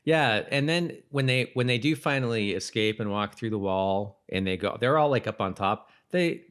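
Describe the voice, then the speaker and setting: A man's voice: fairly low pitch, normal speed, a bit bright, clean tone. A man co-hosting a podcast, chatting informally about a TV show in a conversational, easily amused way. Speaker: podcast co-host. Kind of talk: casual chat about a TV show.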